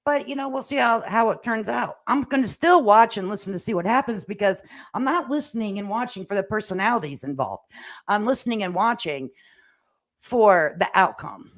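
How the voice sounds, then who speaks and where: A woman's voice: mid-range voice, animated, normal speed. An older woman chatting casually as a livestream host, with a folksy, sassy, plain-spoken and slangy way of talking. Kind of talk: casual livestream commentary chat, reading viewer comments aloud. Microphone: standalone microphone at a laptop.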